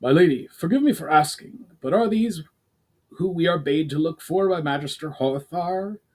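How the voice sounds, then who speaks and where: A rank-and-file soldier addressing a superior, acted out by a man. The tone is respectful and questioning, pitched up, in fantasy storybook style. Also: moderate pace, a little mellow, natural voice. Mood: deferential.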